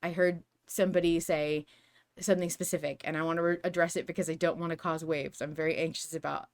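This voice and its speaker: A woman's voice: sits low, level tone, fast. A woman hosting a podcast, talking through fan analysis casually in an earnest, emphatic, argumentative manner. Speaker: podcast host.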